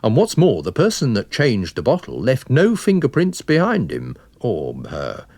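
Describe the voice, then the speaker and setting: A man's voice: medium pitch, wildly swooping pitch, darkish tone, dry recording. A man voicing a wary, methodical police inspector with a plain, official manner in a dramatised story reading. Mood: stern, suspicious. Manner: official, plain-spoken, deliberate.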